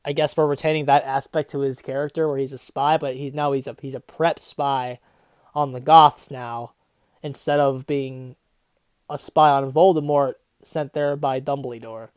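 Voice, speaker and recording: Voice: mid-range voice, steady tone, normal speed, darkish tone, smooth, no echo, studio quality. A reviewer in their twenties reading aloud in a theatrical mock-serious style, breaking off into sarcastic, mocking commentary. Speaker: online fanfiction reviewer.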